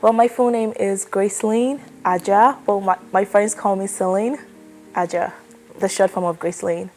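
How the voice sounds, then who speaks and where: A woman in her twenties from Nigeria, answering an oral history interview in a relaxed, chatty way with lots of fillers. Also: medium pitch, moderate pace, faint music behind. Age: 20s. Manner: relaxed, chatty, many fillers like "like" and "you know".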